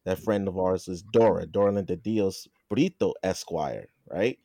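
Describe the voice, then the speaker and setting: A man's voice: low voice, normal speed, creaky, mellow, no room sound, clean studio sound. A man hosting a casual podcast interview, often repeating and correcting his words as he asks questions. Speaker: podcast host.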